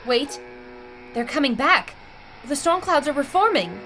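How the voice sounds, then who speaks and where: A woman as a high-voiced intrepid reporter. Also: big pitch swings, a little slow, over music. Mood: urgent. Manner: dramatic, crisp reportage. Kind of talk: 1930s adventure radio drama acting.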